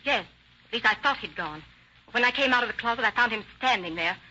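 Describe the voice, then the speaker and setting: A woman's voice: on the high side, expressive, quick pace, pushed and bright. An actress playing an evasive woman on trial for murder, testifying defensively in an old radio drama. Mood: nervous, defensive. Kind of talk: 1940s radio acting. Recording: muffled, hissy old radio recording.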